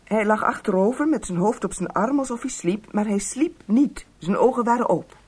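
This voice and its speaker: An actress playing a guarded, defiant secretary being questioned in a radio play, husky-voiced, curt and insistent. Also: female voice, mid-range voice, animated, normal speed, a little mellow. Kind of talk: Dutch radio drama acting.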